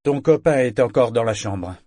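Homme de taverne bourru joué par le lecteur, parle fort, réprimande sans ménagement, voix un peu grave. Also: male voice, fairly low pitch, fairly even intonation, moderate pace, no room sound, studio quality, natural voice. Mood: gruff. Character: gruff booted tavern man, blunt and scolding.

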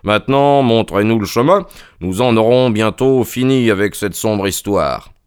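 A man voicing a sharp, confident detective in a brisk, authoritative, emphatic way. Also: on the low side, clean tone, no room sound, clean studio sound, lightly acted. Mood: decisive. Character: sharp, commanding male detective, confident and urgent.

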